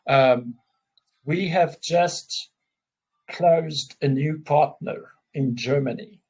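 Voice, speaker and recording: Husky-voiced man, slow and halting. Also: on the high side, darkish tone, rough recording. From South Africa. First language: Afrikaans. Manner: halting, restarts often, openly emotional. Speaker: guest. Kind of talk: casual conversation.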